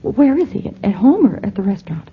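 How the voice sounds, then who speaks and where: An American actress playing a wary, nervous young woman with a breathy, hesitant delivery in a 1940s radio drama. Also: medium pitch, big pitch swings, fast, lightly acted. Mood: uncertain. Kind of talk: 1940s radio detective acting. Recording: very thin, narrow old radio recording.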